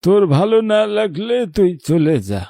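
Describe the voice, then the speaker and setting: Casting: male actor as weary, protective old grandfather for a cartoon; mellow, creaky voice, sharp tongue, soft heart. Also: sits high, expressive, moderate pace, no echo, studio quality. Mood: stern. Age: older. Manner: scolding, then tender storytelling. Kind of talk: cartoon voice acting.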